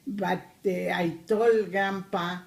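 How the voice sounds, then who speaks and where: Elderly Argentine-accented grandmother in her eighties, unhurried, halting delivery. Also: fairly low pitch, steady tone, creaky. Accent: Argentine Spanish. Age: 80s. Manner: slow, hesitant, many pauses. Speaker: grandmother interviewee. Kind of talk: recorded family interview.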